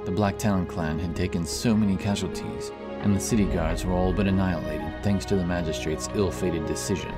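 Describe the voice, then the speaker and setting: A man narrating a fantasy audiobook in a steady, dramatic storytelling manner. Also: low-pitched, fairly quick, few pauses. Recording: with loud music behind.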